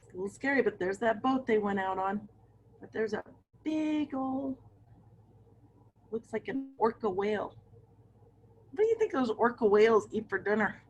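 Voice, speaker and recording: A woman's voice: fairly high pitch, normal speed, pauses often, muffled recording, a little hiss or hum. A woman reading a picture book aloud to children, with chatty asides and questions to her young listeners. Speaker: read-aloud storyteller for children.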